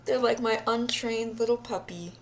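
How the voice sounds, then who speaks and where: A woman's voice: a little high, normal speed, very noisy, lightly acted. A woman playing a deranged, obsessive yandere captor in an audio roleplay diary, sadistic yet childlike, speaking in a dreamy, intimate manner and lingering on words. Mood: confessional. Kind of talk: audio roleplay monologue, recorded diary confession.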